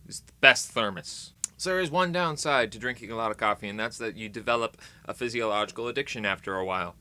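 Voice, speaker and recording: A man's voice: on the low side, fast talker, bright, forceful tone. An American man vlogging in casual, rambling chat to camera, playful and tongue-in-cheek with dramatic emphasis.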